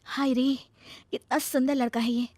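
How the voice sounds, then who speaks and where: A woman's voice: sits high, flat delivery, normal speed, dark tone, slight background noise, pitched above the performer's own voice, close to an everyday voice. A woman voicing an anguished, guilt-ridden young wife and mother in a plaintive, emotional story delivery. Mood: smitten.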